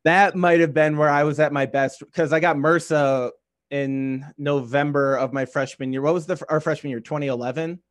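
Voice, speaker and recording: A man's voice: fairly high pitch, fairly even intonation, moderate pace. A man in his early twenties with an American accent, talking casually and loosely in conversation, rambling and saying "like" often. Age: early 20s. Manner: rambling, heavy use of "like".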